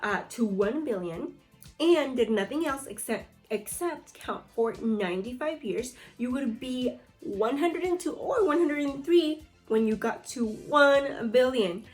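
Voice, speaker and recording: A woman's voice: fairly high pitch, a little slow, faint music behind. A woman teaching young children through a read-aloud lesson, reading from a book and prompting pupils with questions and short instructions. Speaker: second-grade teacher.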